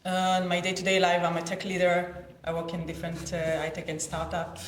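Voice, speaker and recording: A woman from Israel giving personal testimony as an activist on a panel, halting often with pauses and hesitations. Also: on the low side, monotone. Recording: roomy, echoing event space.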